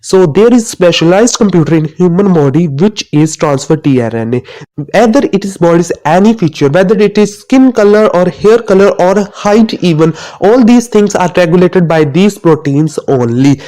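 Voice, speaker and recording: A man's voice: high voice, lively intonation, normal speed, dark tone, rough recording. A young man from Asia, a student YouTuber, giving a casual explainer monologue with a chatty, self-mocking teacher tone.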